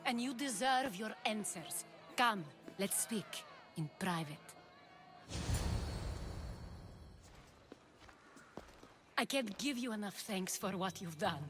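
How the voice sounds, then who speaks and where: A woman's voice: medium pitch, animated, measured pace, over music, lightly acted. A woman playing a shrewd, commanding courtesan leader in a video game, speaking in a poised, theatrical way. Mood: grateful. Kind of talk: dramatic video game dialogue.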